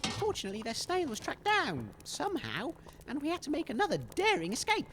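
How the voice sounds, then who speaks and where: An actor playing a nervous, hesitant male radio DJ on the run in an audio comedy drama, stammering and high-strung. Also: wildly swooping pitch, brisk, background noise, heavily acted. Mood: hesitant, worried.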